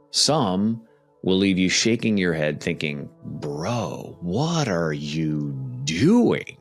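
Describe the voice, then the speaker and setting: A man hosting a podcast, talking and reading his own writing in a conversational, emphatic way with dramatic pauses. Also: low voice, a bit bright. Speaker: podcast host and author. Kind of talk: casual podcast talk, then storytelling book reading.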